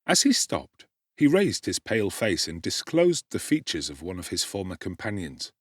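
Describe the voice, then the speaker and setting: A male actor giving an expressive, theatrical reading as an audiobook narrator. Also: low voice, normal speed, husky, dry recording, studio quality.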